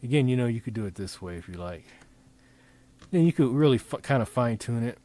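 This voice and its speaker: A man talking through an informal software demonstration, improvising in a halting way as he thinks aloud and repeats phrases. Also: medium pitch, lively intonation. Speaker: presenter demonstrating design software. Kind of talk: informal screen-recording tutorial, improvised.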